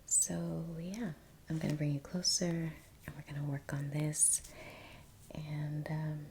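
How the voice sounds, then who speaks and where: Hushed, slow-talking woman, soft ASMR whisper. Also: low voice, level tone, background noise. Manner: hushed, breathy near-whisper. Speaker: painting channel host. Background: faint ambience, brush sounds.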